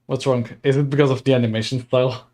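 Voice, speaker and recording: A man's voice: medium pitch, steady tone, fast talker, a little mellow. A young man from Slovakia streaming a game, chatting casually, laughing often and reading game text aloud. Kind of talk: casual gaming livestream chat. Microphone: desk mic.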